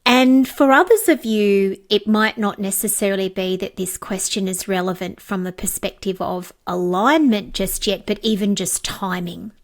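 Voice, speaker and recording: A woman hosting a solo podcast on coaching, slightly raspy and blocked up from a cold, speaking with effusive praise and casual fillers. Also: medium pitch, normal speed, hardly any pauses. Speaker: podcast host and coaching trainer.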